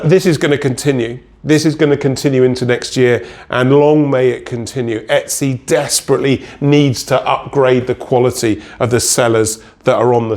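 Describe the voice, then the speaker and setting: A man's voice: medium pitch, a little mellow. Consultant, man, stuffy head cold, nasal, chatty explainer, keeps asking "right?". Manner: chatty, checks in with listeners with "right?" and "okay?". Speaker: Etsy consultant. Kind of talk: casual direct-to-camera explainer.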